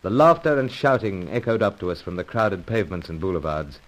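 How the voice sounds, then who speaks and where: An actor playing a suave secret agent hero in an old-time radio adventure serial, earnest and dramatic. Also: male voice, low voice, lively intonation, brisk, rough recording. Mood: tense narration.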